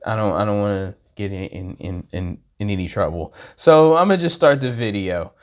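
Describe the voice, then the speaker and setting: A man hosting a casual reaction video, rambling in a halting way and often repeating his words as he talks to himself. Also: on the low side.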